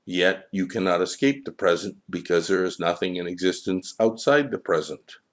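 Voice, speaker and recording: A man voicing a stern, wise divine child in a storybook, with a measured, lecturing manner. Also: deep voice, expressive.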